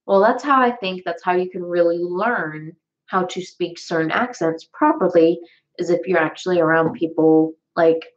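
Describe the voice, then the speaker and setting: A woman with a Southern US accent, chatting casually and rambling on a livestream, with lots of fillers and restarts. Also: lowish voice. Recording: dull lo-fi sound.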